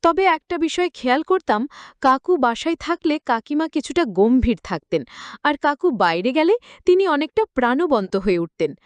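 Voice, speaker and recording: High-pitched, lively woman narrator. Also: normal speed, no room sound. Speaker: story narrator. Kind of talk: scripted narration of an emotional story.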